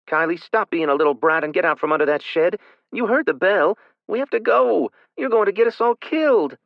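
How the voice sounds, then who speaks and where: Guy doing a mad teen girl, shouting and cussing, high and loud, super dry sound. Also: huge pitch swings, drawn-out words, no echo, muffled recording, exaggerated voice. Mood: angry yelling. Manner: yelling, cussing, scolding. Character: furious bossy older sister, young teen girl.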